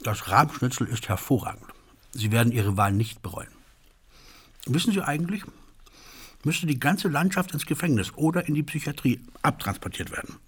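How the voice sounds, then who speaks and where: A radio-play railway engineer with a very rough, husky voice. He speaks in formal, courteous officialese, earnest and long-winded, like a born negotiator. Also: mid-range voice, expressive, normal speed, a bit bright.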